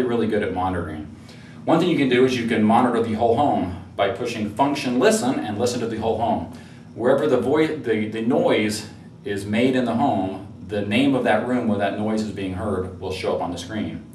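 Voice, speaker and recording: Low-voiced man in a roomy, distant recording. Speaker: product presenter. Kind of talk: informal product demonstration. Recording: roomy, distant mic with faint noise.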